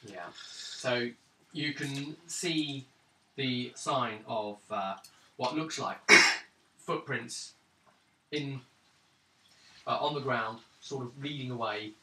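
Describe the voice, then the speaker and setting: A man running a tabletop role-playing game as game master, describing scenes and calling for rolls in halting phrases. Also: mid-range voice, sharp tone. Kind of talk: improvised tabletop game narration and refereeing. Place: indoors around a gaming table. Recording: roomy, distant tabletop recording with background noise.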